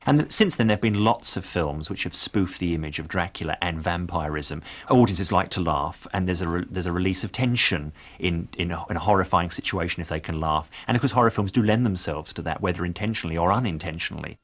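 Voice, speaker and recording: Brief: interviewed male commentator, deep and fast, reflective. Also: fairly bright tone. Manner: thoughtful, hedging with "I think".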